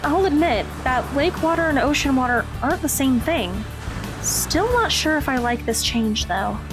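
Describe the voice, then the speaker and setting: A woman playing a hesitant, polite and agreeable high-school girl in an audio drama. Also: expressive, moderate pace, music in the background. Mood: tentative.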